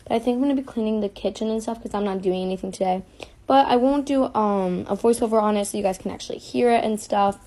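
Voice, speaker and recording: A woman's voice: medium pitch, fast, dark tone. A young woman doing a casual, unscripted voice-over for cleaning videos, rambling and interrupting herself.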